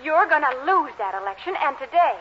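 A quick-witted, scheming young woman, high-voiced and decisive. The 1940s radio comedy recording is muffled with faint noise. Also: big pitch swings. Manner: brisk, decisive, coaxing. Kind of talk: 1940s radio sitcom acting.

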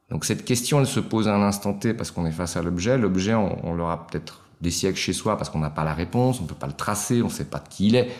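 A man, a guest answering interview questions in a reflective way, often pausing to search for words. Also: low voice. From Switzerland. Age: 40s. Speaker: guest, watch collector. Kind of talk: casual interview conversation.

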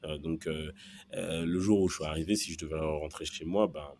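A man with a very deep voice speaks as an interview guest. He tells a personal story haltingly, repeating words while he looks for the right phrasing. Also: fairly even intonation, a little mellow, slight background noise. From born in Central Africa, raised in Belgium from early childhood. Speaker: podcast guest.

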